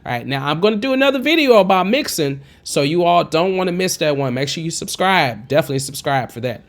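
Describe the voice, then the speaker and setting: Chatty man with a bright twangy tenor. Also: a little high, lively intonation, fast. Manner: chatty, encouraging, digresses. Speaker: music production tutor. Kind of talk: casual screen-recorded tutorial. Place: home studio with green screen. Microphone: studio condenser mic with pop filter, wearing headphones.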